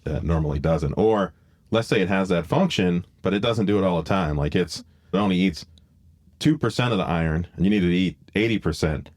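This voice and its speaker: A man's voice: deep voice, a little mellow, dry recording, clean studio sound. A man hosting a podcast, explaining things in a casual discussion and thinking aloud as he goes. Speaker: podcast host.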